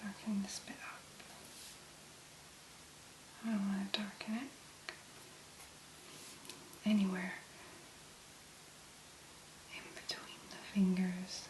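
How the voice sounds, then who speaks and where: A woman speaking in a breathy, whispery voice, gently and unhurriedly murmuring step by step through a soft-spoken ASMR tutorial as its host. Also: mid-range voice, level tone, takes it slowly, pauses often, echoey room, slight background noise, poor audio.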